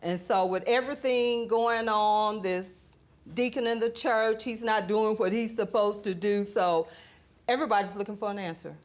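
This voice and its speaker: A woman's voice: medium pitch, normal speed. A woman giving conversational testimony as a plaintiff in a television courtroom. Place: TV courtroom studio.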